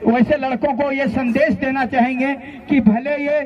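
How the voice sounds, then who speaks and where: A man's voice: very high voice, steady tone, moderate pace, dull audio. A man with a Jharkhand accent gives a colloquial, impassioned political speech to students, addressing them directly as a student leader. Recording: noisy outdoor rally, loud crowd behind.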